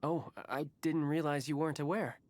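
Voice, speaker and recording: A man's voice: a little high, fairly even intonation, normal speed, a little mellow, slight background noise, lightly acted. A man playing a deferential, resigned household servant in a video game, formal and hesitant. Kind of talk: video game character acting.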